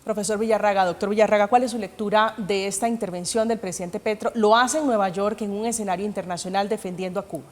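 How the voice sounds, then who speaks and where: A woman's voice: medium pitch, fairly even intonation, fast, few pauses, darkish tone. A woman formally moderating a televised debate as its host. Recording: long hall-like reverb.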